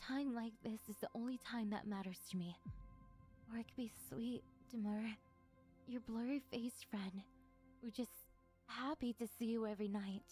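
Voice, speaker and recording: A woman voicing a lonely, needy female sleep paralysis demon in an intimate ASMR roleplay, hesitant and soft-spoken. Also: on the high side, natural voice.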